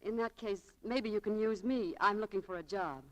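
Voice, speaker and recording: A woman's voice: mid-range voice, normal speed, natural voice, poor audio. An actress playing a plucky, persistent young woman looking for work, polite and eager in 1940s radio style. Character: plucky, persistent young female job seeker.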